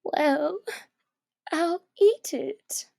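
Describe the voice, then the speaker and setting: A woman's voice: very high voice, very slow, creaky, darkish tone, voice pushed up, exaggerated voice. A woman voicing a curious, earnest young girl who talks to herself in exclamations in a children's storybook. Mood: resolute. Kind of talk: expressive children's storybook character reading.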